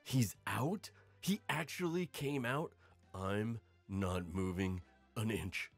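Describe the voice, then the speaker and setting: Casting: man as friendly new boyfriend, low husky voice, gently hesitant and sincere. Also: slow pace, faint music behind, exaggerated voice. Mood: surprised, careful. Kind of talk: audio roleplay acting.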